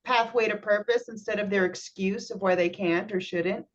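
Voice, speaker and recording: A woman's voice: mid-range voice, steady tone, normal speed. Upbeat woman, slightly dull call audio. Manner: upbeat, enthusiastic, laughing. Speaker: event platform host.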